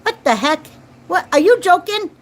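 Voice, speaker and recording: A woman's voice: very high voice, very animated, normal speed. A woman in her forties chatting casually to viewers as host of a livestream, repeating phrases and tag questions. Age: 40s. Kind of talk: casual live chat with viewers. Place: at home, children nearby.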